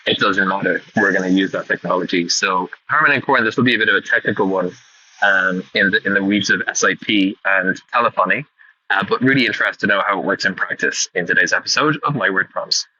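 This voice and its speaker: A man's voice: low-pitched, fast talker, bright, forceful tone. A man thinking aloud in a casual, rambling way, often correcting himself as he asks a question. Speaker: podcast listener sending in a question. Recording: muffled, clearly noisy voice recording.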